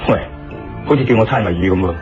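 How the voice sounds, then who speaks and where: An actor playing a thoughtful, curious male investigator in a Cantonese audio drama, with a Hong Kong accent and expressive, earnest delivery. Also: lowish voice, fast, lightly acted. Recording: slightly muffled radio drama, music underneath.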